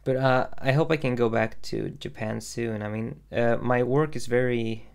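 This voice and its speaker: A young man from Sweden chatting casually on a livestream, answering viewer questions in a hesitant, soft-spoken way with lots of ums and restarts. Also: medium pitch, normal speed, creaky, clean tone. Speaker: independent animator streaming.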